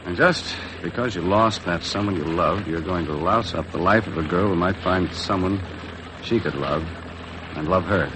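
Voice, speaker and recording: An American actor playing a tough, blunt, world-weary charter boat skipper in 1950s radio drama style, terse and hard-boiled. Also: male voice, deep voice, normal speed, lightly acted. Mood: firm, blunt. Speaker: lead actor and narrator of a radio adventure. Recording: noisy vintage 1950s radio recording.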